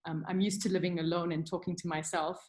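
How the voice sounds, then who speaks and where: A woman's voice: on the low side, flat delivery, fast, darkish tone. Candid South African woman on a video call. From South Africa (Durban). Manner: candid, self-deprecating, many ums and restarts. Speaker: guest, creative director. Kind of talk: casual webinar chat.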